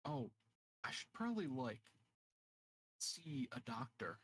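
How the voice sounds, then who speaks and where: A man with a medium-pitched voice chats as a tabletop game player. He is slangy and joking, and the sound is dry. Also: sing-song, slow pace, fairly bright tone, slightly rough, dry recording. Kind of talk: casual chat.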